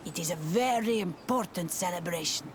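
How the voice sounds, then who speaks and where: A woman's voice: fairly low pitch, expressive, normal speed, slight background noise, lightly acted. A woman playing a wary, brusque villager of a fantasy island clan in a video game. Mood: proud, instructive.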